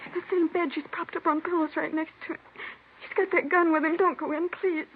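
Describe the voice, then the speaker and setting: A woman's voice: extremely high pitch, level tone, fairly quick. A woman with an American accent playing a frightened, pleading young mother in a 1950s radio drama. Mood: frantic pleading. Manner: pleading, anxious. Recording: tinny narrow-band old radio, faint noise.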